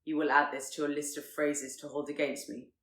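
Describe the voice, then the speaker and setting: A woman voicing a proud, reserved gentleman in a period romance audiobook, speaking formally and with restraint. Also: sits low, level tone, a little fast, reverberant, voice pushed down. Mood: wry, hurt. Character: proud, stiff, reserved gentleman, earnestly courting his betrothed.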